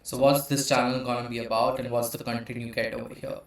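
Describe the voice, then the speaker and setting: A man from India in his thirties hosts a teaching channel and explains computational biology from a slide deck. He hesitates often, filling gaps with uh, and his pitch sits in the middle range. His voice rings out in a very echoey room. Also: level tone, quick pace. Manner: hesitant, frequent uh fillers.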